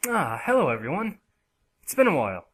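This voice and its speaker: A man's voice: on the high side, wildly swooping pitch, fairly quick, a bit bright, slightly rough, full character voice. A man playing a sunny, polite young guest in an audio drama, friendly and courteous. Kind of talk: anime-style audio drama acting.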